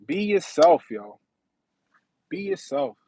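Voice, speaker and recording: A man's voice: a little high, sing-song, takes it slowly, mellow, slightly rough, no echo. A man in his thirties hosting a casual livestream, talking in a slangy, profane way and often asking "you know what I mean". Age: 30s. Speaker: livestream host.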